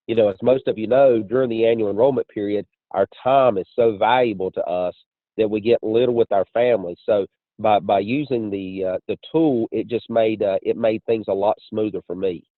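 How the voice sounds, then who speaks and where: A man giving a measured, explanatory testimonial as an insurance agent on a conference call. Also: on the low side, fairly even intonation. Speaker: insurance agent and training director. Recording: muffled phone line with room echo.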